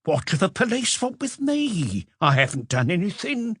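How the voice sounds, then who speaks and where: A man's voice: high-pitched, very animated, moderate pace, breathy edge, no echo, clean studio sound, voice pushed up, full character voice. A man voicing an indignant, stubborn old woman in her sixties who denies everything, read in an audiobook. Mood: indignant, defensive. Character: defensive old woman, retired fortune teller in her sixties. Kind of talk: audiobook character voice.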